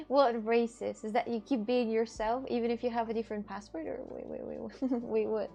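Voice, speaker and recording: A woman's voice: fairly high pitch, steady tone, normal speed, creaky, mellow, dull audio, soft background music. A woman from Russia with a Russian accent, streaming in casual chat, arguing and breaking into disbelieving laughs and cries.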